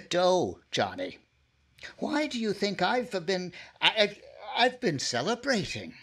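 A man's voice: sits high, wildly swooping pitch, slow pace, a bit bright, slightly rough, exaggerated voice. An actor playing Herb Carter, a sly, menacing man back from the dead who taunts with giggles, in 1950s-style radio drama. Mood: gloating.

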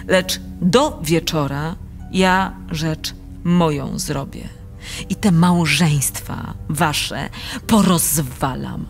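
Proud, defiant noblewoman for verse radio drama; imperious, very slow, music underneath. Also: deep voice, heavily acted. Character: proud, sick countess, commanding and bitterly defiant.

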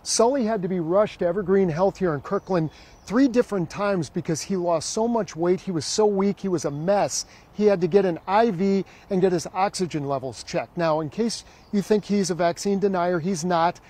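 High-voiced male TV news reporter. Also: normal speed, a little mellow. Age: middle-aged. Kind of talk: scripted news report narration and live report.

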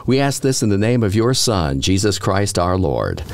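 An older man hosting a radio interview in a small studio, talking in a folksy, halting way with many restarts. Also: lowish voice, very animated, a little mellow. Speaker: radio host. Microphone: studio mic with headphones.

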